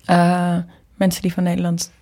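Lower-pitched woman in casual conversation. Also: completely flat delivery, moderate pace, warm tone. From Netherlands. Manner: informal, explanatory, thinking aloud. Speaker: interviewed singer and producer.

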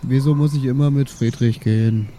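A man's voice: mid-range voice, steady tone, a little fast, warm tone, a little hiss or hum. A man improvising dry, grudging in-character remarks as a player in a tabletop game. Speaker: role-player playing a dwarf brother.

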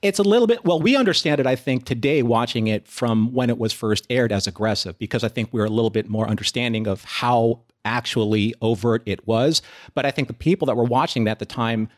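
Male American panelist, medium pitch, thoughtful explainer in an unscripted group discussion. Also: fairly quick. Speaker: podcast panelist. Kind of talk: conversational discussion.

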